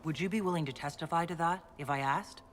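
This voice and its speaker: An actor playing a composed, decisive fantasy leader in a video game, with a cool, direct manner. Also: male voice, fairly high pitch, quick pace, a bit bright, a little hiss or hum, lightly acted. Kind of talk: video game cutscene acting.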